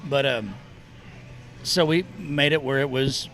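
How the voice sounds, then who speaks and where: A man's voice: mid-range voice, unhurried, fairly bright tone. A middle-aged man from Oklahoma and Texas, a musician guest chatting casually and rambling through stories with many restarts at an outdoor festival. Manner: rambling, self-interrupting storyteller. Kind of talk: casual interview chat.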